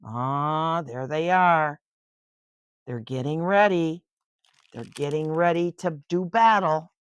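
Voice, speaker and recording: An older woman reading a children's picture book aloud in an expressive, unhurried way, with chatty asides of wonder. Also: low-pitched, clean tone, no echo.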